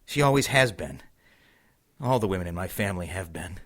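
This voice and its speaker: A low-pitched voice for a menacing man from a witch's family. He speaks with measured, ominous deliberation, like a haunted occultist in a supernatural radio play. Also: animated, a bit bright. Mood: haunted, resigned. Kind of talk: audio drama acting.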